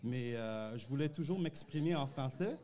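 A man in his forties, a native English speaker talking in French as a comedian guest on a podcast, pausing often with fillers into a handheld mic. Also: medium pitch, animated, mellow. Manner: hesitant, many "euh" fillers. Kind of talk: casual interview. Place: dark podcast studio.

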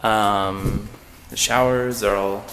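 A young man giving a casual, halting, understated walk-through tour on a handheld camera. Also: steady tone, a bit bright, a little hiss or hum. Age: young adult. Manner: low-key, halting, understated. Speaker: exchange student giving a tour. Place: indoors in a student dormitory, walking through rooms.

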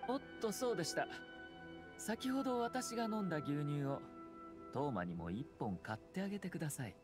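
An actor playing a composed, courteous young aristocratic lord in a Japanese anime game, formal and gently authoritative. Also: male voice, a little high, big pitch swings, moderate pace, over music. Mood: polite, casual.

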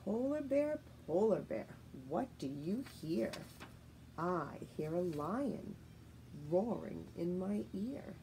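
A middle-aged woman, a teacher reading a picture book aloud to young children in a deliberate, sing-song, expressive way, recorded at home. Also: lowish voice. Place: living room at home, indoors. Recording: faint steady noise, home room recording.

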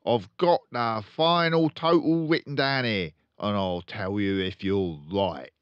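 A man's voice: on the low side, measured pace, clear, resonant tone, no room sound, highs cut off, pitched above the performer's own voice, lightly acted. A man voicing a boastful, bullying, hot-tempered father in a storybook, blustering and pompous. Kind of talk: storybook read-aloud character voice.

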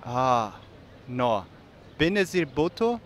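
A man's voice: medium pitch, big pitch swings, slow pace. Hesitant young man from Afghanistan. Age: young adult. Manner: hesitant, apologetic. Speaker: passerby interviewee. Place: busy city square outdoors. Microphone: interviewer's handheld mic.

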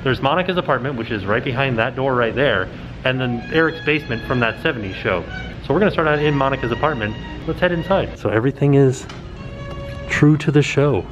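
Excited man touring a room on camera. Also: medium pitch, brisk, highs cut off, music in the background. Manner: excited, pointing things out. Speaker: vlogger. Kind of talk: casual walkthrough vlog. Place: indoor TV set replica, small room. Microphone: camera held at arm's length.

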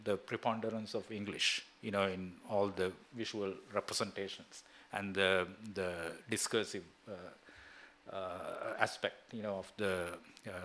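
A middle-aged man from Sri Lanka answering at an academic seminar, haltingly, with many filler tags like a habitual check-in. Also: on the low side, bright, forceful tone. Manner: halting, with frequent "you know" and "right?" tags. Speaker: seminar speaker. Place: small seminar room indoors. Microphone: gooseneck table microphone.

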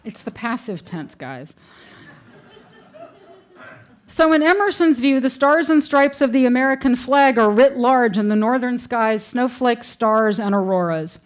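A woman's voice: a little high, animated, moderate pace, few pauses. A middle-aged woman reading a prepared lecture from notes at a lectern, with dry humorous asides, into a lectern microphone in a wood-paneled room.

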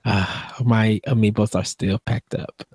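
A man's voice: fairly low pitch, fairly even intonation, unhurried, a little mellow, breathy edge, dry recording. A young man co-hosting a casual gaming podcast, reasoning through his points earnestly on a studio mic at home. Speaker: podcast co-host. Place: home room with game posters. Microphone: studio mic on boom arm with headphones.

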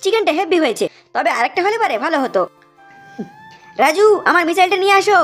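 A woman's voice: very high voice, expressive, quick pace, over music. A woman voicing a childish, greedy, stubborn chubby panda creature in a cartoon, whiny and demanding. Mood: bossy. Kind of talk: cartoon dubbing.

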